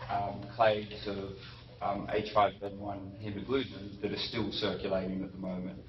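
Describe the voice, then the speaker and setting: A man giving an academic lecture, unhurried, with frequent ums and self-corrections. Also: on the low side, noisy background. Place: lecture hall. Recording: muffled echoey hall recording from a distance.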